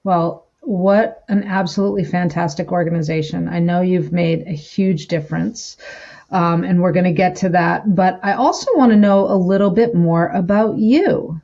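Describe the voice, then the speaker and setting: A woman's voice: fairly low pitch, moderate pace, vocal fry, mellow. A woman hosting a conversational interview in an effusive, encouraging way. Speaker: interviewer, host. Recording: dull, lo-fi sound.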